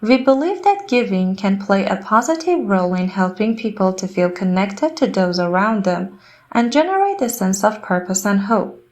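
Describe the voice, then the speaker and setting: A woman's voice: mid-range voice, animated, normal speed, a little mellow. A woman reading prepared passages aloud as scripted narration.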